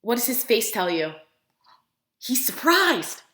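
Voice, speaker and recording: A woman preschool teacher reading a picture book aloud to young children, expressively, pausing to put questions to her listeners. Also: fairly high pitch, sing-song, pushed and bright. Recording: roomy, echoing room sound.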